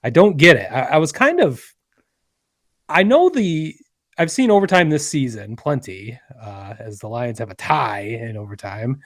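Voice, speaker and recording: A man's voice: mid-range voice, lively intonation, no echo, clean studio sound. Middle-aged man talking sports on a podcast. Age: 40s. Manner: earnest, halting, many restarts, then quick. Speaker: podcast host. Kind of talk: casual podcast chat.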